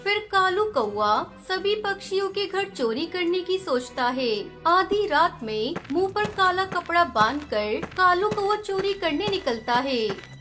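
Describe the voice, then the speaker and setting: Very high-pitched woman narrating a cartoon story. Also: animated, a little slow, music in the background.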